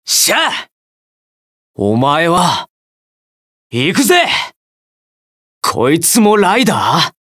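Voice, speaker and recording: A man's voice: a little high, huge pitch swings, very slow, pauses often, a bit bright, slightly rough, exaggerated voice. A man voicing a hot-blooded, earnest young armored superhero in a video game, with emphatic, impassioned delivery. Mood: fired up, then grim, tense. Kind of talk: video game hero battle voice lines.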